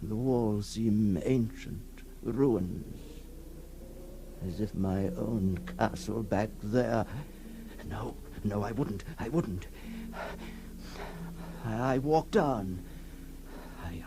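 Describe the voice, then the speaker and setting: A man playing a tormented, awestruck figure emerging from long confinement in a theatrical 1960s radio horror monologue, halting and breathless, repeating his words. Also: on the low side, lively intonation, music in the background, heavily acted. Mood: wistful, unsettled. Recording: noisy old 1960s radio recording.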